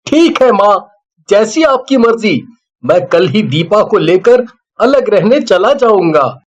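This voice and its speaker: A performer voicing a gentle, dutiful, wronged younger son in a moral story, submissive and soothing. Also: mid-range voice, sing-song, takes it slowly. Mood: resigned. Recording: slightly dull, lo-fi sound.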